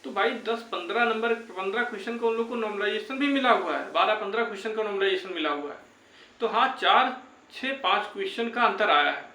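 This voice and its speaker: A man's voice: high voice, fast talker, pushed and bright. A young man giving an informal, reassuring update to viewers, often repeating his phrases. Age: young adult. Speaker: YouTube exam-update presenter. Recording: echoey, roomy sound.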